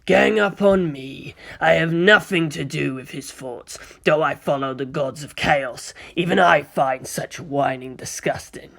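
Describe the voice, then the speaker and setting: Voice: medium pitch, measured pace, vocal fry, hardly any pauses, fairly bright tone. A narrator voicing a villainous chaos warrior with a grinding, sneering, sarcastic delivery. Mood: disgusted.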